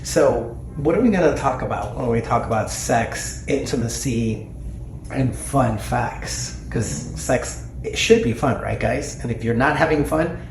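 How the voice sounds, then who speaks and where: A man's voice: mid-range voice, a little slow. Male podcast host, 40s, playful and teasing, asks lots of questions; echoey room tone, slight hiss. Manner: jokey, teasing, asks his co-hosts questions. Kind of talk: casual podcast chat. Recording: echoey room, faint noise.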